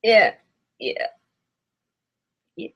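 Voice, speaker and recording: A woman's voice: drawn-out words, a bit bright, highs cut off. A woman giving casual, blunt reaction commentary with an exclamatory way of talking. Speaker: reaction video host.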